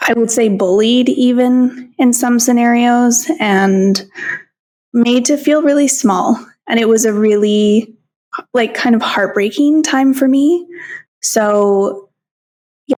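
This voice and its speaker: A woman's voice: on the high side, a little mellow. Candid woman guest on a podcast. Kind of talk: casual interview conversation.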